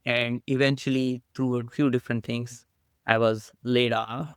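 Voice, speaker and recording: A middle-aged man speaks with an Indian accent, talking as a podcast guest. His delivery is playful and animated, with a touch of self-mockery. The voice is smooth and resonant, and the room is dry. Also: medium pitch, measured pace, dry recording. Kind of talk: casual interview talk.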